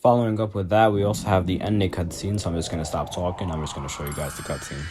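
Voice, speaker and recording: A man's voice: sits low, steady tone, quick pace, very noisy. A man hosting a gaming video, giving a casual explainer voice-over. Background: music.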